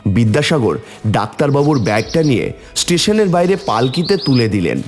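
A man's voice: medium pitch, big pitch swings, normal speed, faint music behind. A man narrating a scripted story in a dramatic storytelling style.

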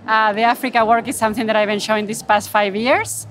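A woman speaking earnestly in an unscripted interview, pausing to find her words, on a handheld microphone. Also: a little high, steady tone, fairly bright tone, a little hiss or hum. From Argentina. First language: Spanish. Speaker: wildlife photographer interviewed at her exhibit. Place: gallery booth at an indoor art fair.

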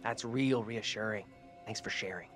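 A man's voice: on the low side, moderate pace, music in the background, natural voice. An actor playing a rattled, sarcastic young man in a horror game, blunt and naturalistic. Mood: sarcastic, uneasy.

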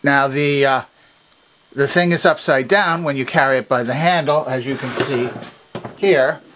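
A man's voice: medium pitch, a little slow, a bit bright, slight background noise. Methodical man giving a how-to demonstration. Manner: methodical, precise explaining. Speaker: demonstrator of a vintage machine. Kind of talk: instructional demonstration, explanatory. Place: indoor workshop at a workbench.

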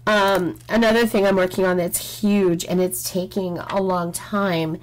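Slow-talking woman with a sore throat. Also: lowish voice, steady tone, slight background noise, low-quality recording. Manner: rambling, frank, many "um"s. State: sore throat, losing her voice. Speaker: craft channel host. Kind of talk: casual chat while diamond painting. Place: home craft table, indoors.

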